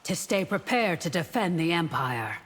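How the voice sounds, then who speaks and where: A disciplined warrior guardian in a fighting game. Her voice is on the low side, firm and assured, with a long hall reverb around it. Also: sing-song, moderate pace. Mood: challenging. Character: confident, disciplined female warrior guardian, coolly challenging. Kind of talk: video game fight banter, dramatic voice acting.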